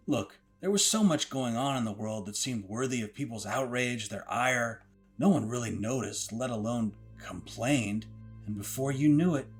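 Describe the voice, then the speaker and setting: A man's voice: mid-range voice, lightly acted. A man playing a matter-of-fact expert who explains things conversationally in a mock documentary interview.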